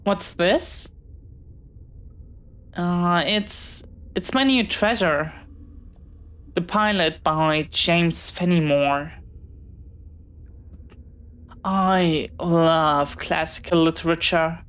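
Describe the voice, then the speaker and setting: An unhurried, slightly low voice for a young female pirate acted by a woman in an audio roleplay. She is chatty and bookish, yet shy and apologetic, with hesitant, polite, earnest phrasing. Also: fairly bright tone. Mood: friendly, eager. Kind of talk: intimate scripted audio roleplay.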